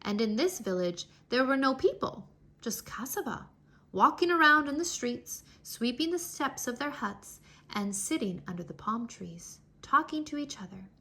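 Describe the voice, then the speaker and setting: A woman narrates a children's storybook in a high, bright voice. Her pitch moves widely as she tells the tale, giving it an animated, dramatic feel suited to young listeners. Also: moderate pace. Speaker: children's read-aloud narrator. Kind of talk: expressive storybook reading.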